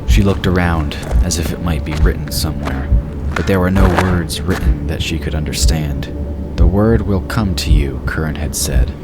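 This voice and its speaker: Very deep male narrator over music. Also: moderate pace, few pauses. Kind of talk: scripted fantasy story narration. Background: loud music.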